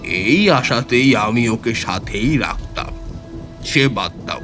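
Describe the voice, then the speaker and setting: A man playing a seasoned, knowing tantrik who tells his horror story in a measured, explanatory way for an audio drama. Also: mid-range voice, lively intonation. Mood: calm, knowing. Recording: music clearly behind the voice.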